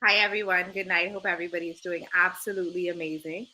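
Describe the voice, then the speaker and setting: A woman's voice: on the low side, steady tone, quick pace, heavy background noise. A woman, a lead consultant, greeting a class over a video call in an upbeat, enthusiastic way. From the Bahamas.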